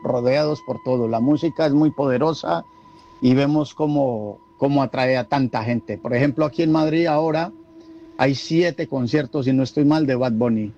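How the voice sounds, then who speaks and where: A man's voice: mid-range voice, moderate pace, dark tone. A Colombian man with a Colombian Spanish accent, talking casually as a caller to a radio show. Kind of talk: casual call-in talk. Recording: dull lo-fi call, some background noise.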